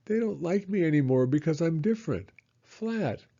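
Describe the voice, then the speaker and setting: A man's voice: a little high, animated, warm tone, husky, dull audio, pitched above the performer's own voice. A man voicing a hurt, glum young boy hero from a storybook in a plaintive way. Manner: plaintive, drawn-out.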